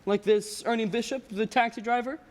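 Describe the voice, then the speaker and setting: A man's voice: very high-pitched, steady tone, fairly quick. A young man playing a cold, scheming, pompous old rich businessman in a radio play. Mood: scornful, condescending. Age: young adult. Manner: pompous, condescending. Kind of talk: filmed radio play acting. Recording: echoey hall with long reverb.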